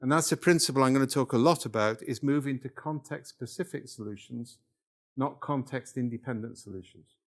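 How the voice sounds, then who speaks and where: A man's voice: mid-range voice, brisk. An older man from Wales giving a live workshop lecture, talking it through conversationally and checking in with the room. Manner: conversational, checks in with "yeah?" and "right?".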